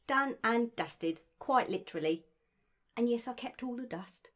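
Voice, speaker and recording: Cheeky, eager working-class shop girl for audio drama, female actor. Higher pitched, very animated. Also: unhurried.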